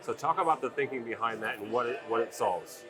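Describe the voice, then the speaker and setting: A man's voice: mid-range voice, fairly quick, music in the background. A man conducting a trade show interview as the interviewer, with folksy, informal phrasing. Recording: crowd chatter at busy event. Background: crowd at a sales meeting.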